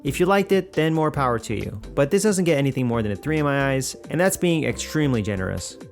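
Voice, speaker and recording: A man narrating a scripted video essay of film criticism in a measured, conversational, opinionated way. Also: mid-range voice, expressive, creaky. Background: background music.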